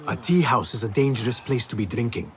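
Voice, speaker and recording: An actor playing a serious, principled assassin investigator in a video game, speaking directly and formally with restraint. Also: male voice, mid-range voice, fairly quick, noisy background, natural voice. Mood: calm, inquiring. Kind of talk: dramatic video game cutscene.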